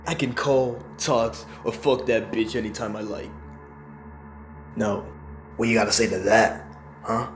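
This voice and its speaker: A man's voice: on the low side, steady tone, a little slow, long pauses, natural voice. A man playing a hostile, sneering, foul-mouthed thug in a comic dub. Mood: belligerent. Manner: belligerent, sneering. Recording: background music under the voice.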